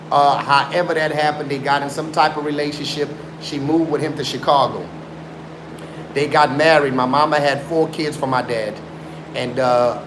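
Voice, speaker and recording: A man around forty, raised in South Africa, chatting insistently with viewers on a live stream and swearing to his story. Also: a little high. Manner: insistent, swears oaths, mixes in Afrikaans. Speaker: livestreamer. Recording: roomy sound with background noise.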